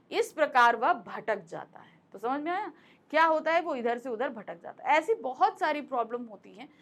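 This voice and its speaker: A woman's voice: on the high side, a bit bright. A woman teacher explaining a school lesson in a conversational way, repeating points and asking rhetorical questions, speaking close to a microphone. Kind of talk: explaining a Hindi lesson to students. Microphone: close mic.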